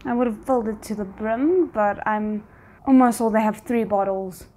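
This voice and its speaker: A woman's voice: medium pitch, moderate pace, dark tone, poor audio. A woman giving casual, off-the-cuff reaction commentary as she watches a show, with muttered wry asides. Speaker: reaction video host.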